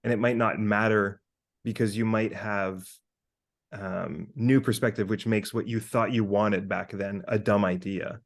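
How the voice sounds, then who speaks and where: A man in his thirties talking casually as a podcast co-host, animated and sweary as he thinks out loud. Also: on the low side, unhurried. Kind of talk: casual conversational monologue with hypothetical examples.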